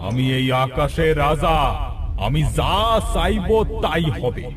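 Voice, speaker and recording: A man voicing an arrogant cartoon sun, high and swooping. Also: lively intonation, takes it slowly, crowd noise. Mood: scornful. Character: arrogant, mocking sun, king of the sky. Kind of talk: cartoon character voice acting. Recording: music under the voice.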